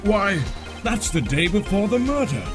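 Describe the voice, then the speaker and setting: An elderly male judge, voiced by a man, ponderous and formal, with slow, swinging intonation. Music plays clearly behind him in this courtroom game dub. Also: high voice, very animated. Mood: pondering, stately. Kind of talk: video game fandub courtroom drama.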